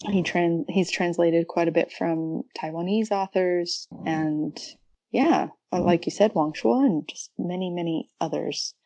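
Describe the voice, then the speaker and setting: A woman's voice: fairly low pitch, unhurried, warm tone, dry recording. A woman speaking as a podcast guest and researcher, explaining things casually and thinking aloud in an informal way.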